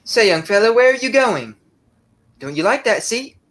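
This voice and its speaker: A man's voice: high voice, big pitch swings, normal speed, fairly bright tone. A young man acting a chatty working-class London train passenger in a 1930s-style radio play. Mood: excited.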